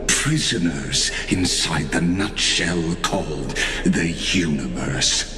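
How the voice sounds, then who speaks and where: An actor playing a cold, ancient genius villain in a video game, speaking in grave, pompous, deliberate monologue. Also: male voice, very deep voice. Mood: solemn regret. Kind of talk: theatrical video game voice acting. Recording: echoing voice over music.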